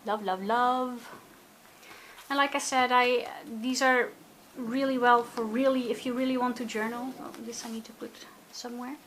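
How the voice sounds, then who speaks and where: A woman's voice: on the high side, steady tone, normal speed, fairly bright tone, slight background noise. A woman casually narrating a craft journal flip-through, chatty and gushing, often talking to herself. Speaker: craft video maker. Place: indoors at a table.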